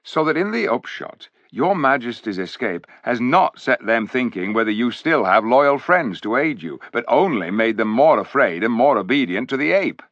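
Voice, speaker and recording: A man's voice: mid-range voice, sing-song, normal speed, hardly any pauses, a bit bright, no echo, voice pushed up. A man doing a chatty, folksy dwarf. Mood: earnest. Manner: folksy, rambling storyteller's telling. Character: chatty loyal male dwarf, blunt and homely. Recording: dull, dry recording.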